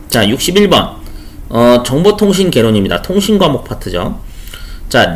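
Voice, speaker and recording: Man, exam tutor, casual screen-share lecture, lively up-and-down pitch, rhetorical asides. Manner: chatty, frequent rhetorical "어?" checks and asides. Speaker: exam prep instructor.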